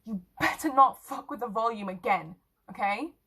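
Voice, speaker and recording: A woman's voice: on the high side, moderate pace, sharp tone, husky, full character voice. A woman voicing a laid-back, teasing and skeptical teenage girl in a short story, casual and blunt in her slang. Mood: mock annoyed.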